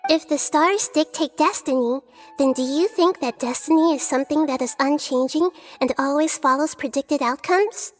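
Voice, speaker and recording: A woman voicing a gentle, wise, childlike little deity in a video game, speaking in a measured, thoughtful way. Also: high voice, faint music behind, close to an everyday voice. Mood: calm explaining.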